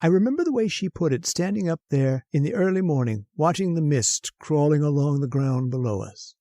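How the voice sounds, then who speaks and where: A man's voice: fairly high pitch, expressive, a little fast, mellow, no echo, studio quality, natural voice. An actor playing a nervous, haunted man who narrates his story in an old-time radio horror drama, jittery and hesitant. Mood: wry, haunted. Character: nervous, haunted, centuries-old male professor confessing a murder plan.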